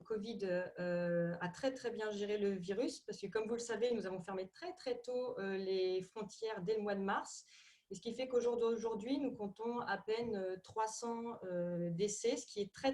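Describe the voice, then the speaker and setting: A woman's voice: mid-range voice, darkish tone. A middle-aged woman with a Parisian accent, presenting on a video call and hesitating often with fillers and repeated words. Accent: Parisian French. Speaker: tourism ministry representative.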